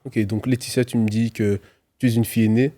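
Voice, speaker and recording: A man hosting an interview, introducing his guest and asking questions in a calm style. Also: on the low side, a little fast, no room sound. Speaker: host, interviewer.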